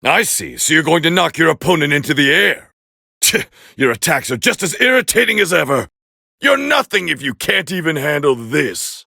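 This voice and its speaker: A man voicing a proud, scornful alien warrior as a fighting game commentator, gravelly and haughty in an English anime dub style. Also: fairly high pitch, very animated, moderate pace, dry recording, clean studio sound, exaggerated voice. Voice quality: gravelly, raspy. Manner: haughty, forceful, clipped exclamations.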